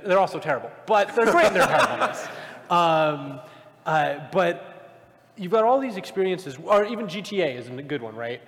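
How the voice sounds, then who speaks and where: A man's voice: fairly high pitch, fairly bright tone. A man speaking as a panelist in a casual live debate, informal and emphatic. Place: large convention panel room with microphones. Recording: very reverberant hall, live panel.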